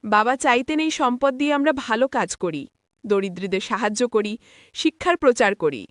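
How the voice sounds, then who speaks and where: A woman voicing a thoughtful, earnest elderly grandfather in a story, in a measured, reflective manner. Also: fairly high pitch, lively intonation, no room sound, clean studio sound, lightly acted. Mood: solemn.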